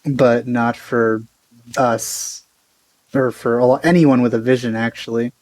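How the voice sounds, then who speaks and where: A man's voice: medium pitch, fairly even intonation, unhurried, a little mellow. A man hosting a let's play, giving casual, hesitant, rambling commentary as he plays. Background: faint game music.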